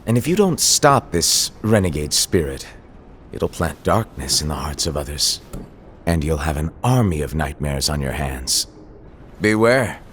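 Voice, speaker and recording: A breezy, teasing fantasy mentor. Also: male voice, extremely low pitch, very animated, a little slow, a little hiss or hum, exaggerated voice. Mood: ominous. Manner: breezy and teasing, then ominous warnings. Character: playful, mysterious male mentor in a fantasy world. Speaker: master of apprentices. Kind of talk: video game cutscene acting.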